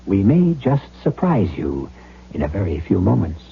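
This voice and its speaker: On a muffled old radio recording, a man narrates a drama. His voice is low, and his scripted delivery is measured and ominous, as a mystery host's would be. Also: huge pitch swings, creaky.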